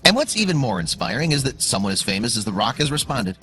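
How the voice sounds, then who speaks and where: A man's voice: mid-range voice, very animated, quick pace, bright, forceful tone. A man narrating a scripted dramatic story in a suspenseful, emphatic way. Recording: music under the voice. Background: background music.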